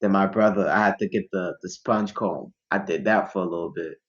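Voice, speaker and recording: A man's voice: low-pitched, steady tone, brisk. Laid-back young man, muffled call audio. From Ghana. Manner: laid-back, slangy, rambling. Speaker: guest musician. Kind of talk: casual interview chat.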